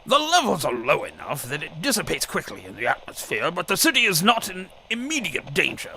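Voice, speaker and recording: An actor playing a nervous, fussy scientist informant in a radio drama, stammering and formally precise. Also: male voice, fairly high pitch, huge pitch swings, a little fast, a crowd in the background, rough recording. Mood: earnest, explaining. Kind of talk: old-time radio drama acting.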